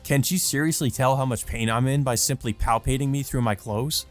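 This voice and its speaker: A man's voice: mid-range voice, brisk. Male let's play host, mid-range voice, casual and amused, reads visual novel text and comments, music underneath.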